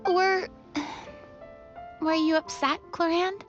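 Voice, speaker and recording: A woman voicing an expressive, chatty and curious young woman in a video game, with a breezy manner. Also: extremely high pitch, slow. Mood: concerned. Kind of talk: animated video game voice acting. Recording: slightly muffled game audio with music.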